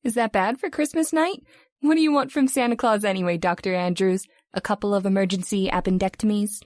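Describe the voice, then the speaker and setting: An actress playing a chatty, teasing and forthright young hospital receptionist in an old-time radio drama. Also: female voice, medium pitch, fairly quick, a little mellow, no echo, clean studio sound, natural voice. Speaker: voice actor.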